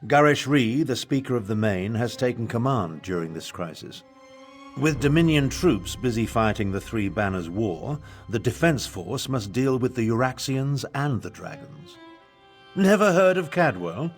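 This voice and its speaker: A man's voice: medium pitch, expressive, measured pace. An older man acting a pompous, haughty battle mage and imperial advisor in a video game, with theatrical delivery. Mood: explanatory. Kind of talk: video game character dialogue. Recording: music behind the voice.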